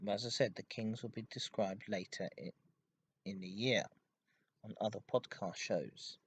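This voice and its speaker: A man hosting a hobby podcast, giving an informative enthusiast monologue in a halting way with stressed intensifiers. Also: on the low side, breathy edge. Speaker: podcast host. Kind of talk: informative enthusiast monologue about railway history.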